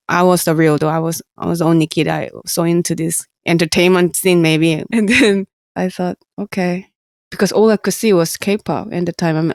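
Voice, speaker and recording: Woman, Korean accent, thirties, slightly low voice, earnest, halting English, laughs often, dry close sound. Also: no room sound. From South Korea. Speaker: guest musician. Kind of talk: casual interview talk.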